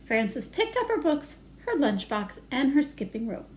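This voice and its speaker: A woman's voice: high-pitched, big pitch swings, unhurried, a little mellow, a little hiss or hum. A woman reading aloud to young children as a storytime educator, singsong, with simple chatty asides.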